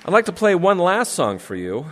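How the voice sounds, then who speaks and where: A man's voice: sits high, huge pitch swings, moderate pace, some chatter behind. An actor playing a musician who introduces his last song for a friend. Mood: heartfelt, hopeful.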